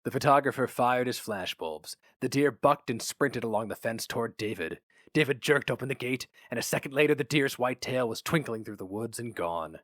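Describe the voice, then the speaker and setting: Expressive man reading a story, dry sound. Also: medium pitch, normal speed, no room sound. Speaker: story reader. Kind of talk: dramatic audiobook-style narration, acting out characters.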